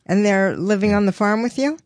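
A woman's voice: mid-range voice, brisk, darkish tone, no room sound. A woman speaking as a radio host conducting an interview. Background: plucked guitar music.

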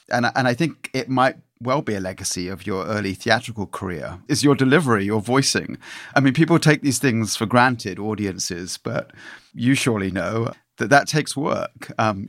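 A man hosting an interview, asking thoughtful questions with a few halting restarts. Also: mid-range voice, fairly bright tone, no room sound, studio quality. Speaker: interviewer, host.

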